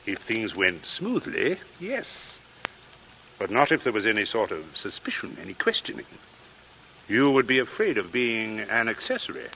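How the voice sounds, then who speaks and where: Coldly menacing radio villain, noisy recording. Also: male voice, lowish voice, wildly swooping pitch, creaky, bright, forceful tone, exaggerated voice. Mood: cool calculation. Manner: measured, commanding, menacing. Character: cold, resourceful murderous father coolly blackmailing at gunpoint. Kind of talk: 1940s radio acting.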